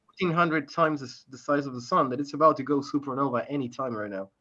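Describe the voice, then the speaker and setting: A man from Argentina with an Argentine Spanish accent, a native Spanish speaker, joining a casual group chat with halting, repeated words. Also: mid-range voice, sharp tone, highs cut off. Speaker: panelist.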